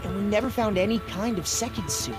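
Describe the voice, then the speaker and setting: A woman voicing a reasonable teenage boy detective in a video game, measured and questioning, with loud music underneath. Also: medium pitch, close to an everyday voice. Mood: reasoning.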